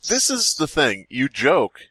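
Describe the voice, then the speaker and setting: Male comedy podcast host, lively pitch movement, dry close sound, riffing and reading creepy tales. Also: slow, husky, dry recording. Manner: jokey, profane, riffing. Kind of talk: comedic group chat and reading horror stories aloud.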